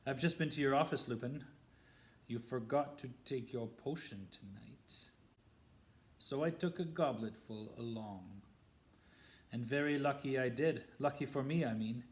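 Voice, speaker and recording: A man's voice: medium pitch, unhurried, lightly acted. A man doing a silky, sneering wizard professor. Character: cold, spiteful male wizard professor. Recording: roomy echo.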